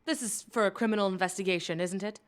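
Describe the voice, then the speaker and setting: A woman's voice: mid-range voice, fairly even intonation, fast talker, lightly acted. An actress playing a young female mage and theology student, scholarly and tart-tongued, with a dry, sardonic manner. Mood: wary. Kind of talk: audio drama acting.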